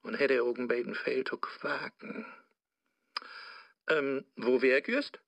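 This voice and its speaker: Man as creaky, scheming Mephisto, Low German. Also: a bit bright, no echo, highs cut off. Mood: sly coaching. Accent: Low German, northern Germany. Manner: sly, instructing, drawn-out. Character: scheming, sly male devil Mephisto advising Faust. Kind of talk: comic rhymed radio play acting.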